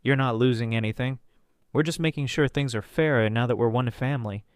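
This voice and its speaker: Guy doing a dismissive mom, bit raised, louder, dry close sound. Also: medium pitch, brisk, clear, resonant tone, no room sound, lightly acted. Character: cold dismissive middle-aged mother.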